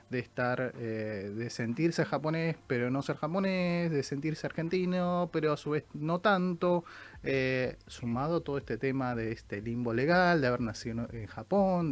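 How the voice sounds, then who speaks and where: A male host, speaking at a middle pitch. He strings his questions together slowly and pauses on hesitant fillers. He sounds like he is talking over a video call. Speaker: interviewer, host.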